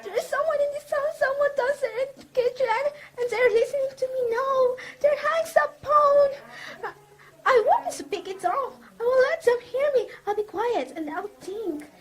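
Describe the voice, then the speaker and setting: A woman's voice: very high-pitched, poor audio. A woman acting a nervous, demanding invalid alone at home in a melodramatic radio drama, stammering and pleading. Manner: imperious, frantic, pleading, stammering.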